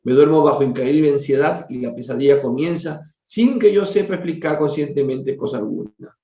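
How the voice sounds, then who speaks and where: A man's voice: mid-range voice, brisk, mellow, recorded from a distance, natural voice. A man reading the part of a troubled, weary father in a spiritual storybook, earnest and formal. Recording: dull, roomy lo-fi recording.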